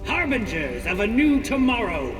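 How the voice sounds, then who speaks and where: Grandiose leader in a reverberant hall. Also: male voice, high-pitched, sing-song, normal speed, distant mic. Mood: lofty, assured. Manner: oratorical, lofty, propagandistic. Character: grandiose corporate leader addressing colonists with lofty promises. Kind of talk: scripted video game acting, broadcast speech. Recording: echoey hall reverb, music behind, from afar.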